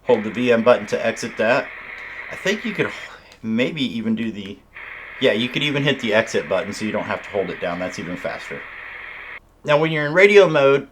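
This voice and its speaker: A man's voice: mid-range voice, fairly bright tone, poor audio. Casting: male hobbyist reviewer, mid-range voice, casual and explanatory, some background noise. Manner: explanatory, conversational. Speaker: radio reviewer and host. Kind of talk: casual hands-on product demonstration.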